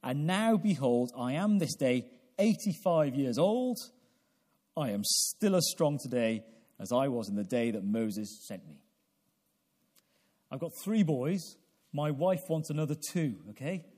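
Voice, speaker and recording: A man in his forties preaching a sermon in a deliberate, halting way, repeating phrases and posing questions to his listeners. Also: mid-range voice, sing-song, darkish tone. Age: 40s. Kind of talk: evangelical sermon with prayer.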